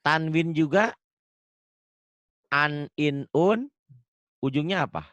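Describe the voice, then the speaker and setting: A man's voice: fairly high pitch, animated, takes it slowly, fairly bright tone, no room sound, muffled recording. A man teaching Quran recitation online, patiently drilling pronunciation and laughing often. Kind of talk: online tajwid lesson.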